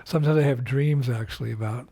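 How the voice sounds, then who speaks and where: An older man speaks in a husky, slightly breathy voice at an ordinary middle pitch. He talks slowly and reflectively, as a spiritual teacher in a recorded video message, often pausing and restarting his sentences. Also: warm tone. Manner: unhurried, reflective, with frequent fillers and restarts.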